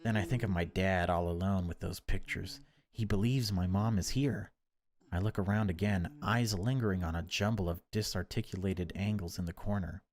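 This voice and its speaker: A man reading horror game text aloud as dramatic narration, in deliberate, broken phrases. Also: low voice, fairly even intonation, a little mellow. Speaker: gaming video creator reading a visual novel aloud.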